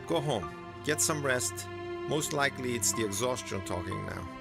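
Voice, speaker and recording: Gravelly, fatherly blacksmith over music. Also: mid-range voice, animated, normal speed. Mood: warm, reassuring. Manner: gentle, earnest, fatherly. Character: kindly older male blacksmith, fatherly mentor. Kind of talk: dramatic animated series acting. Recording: voice over clear background music.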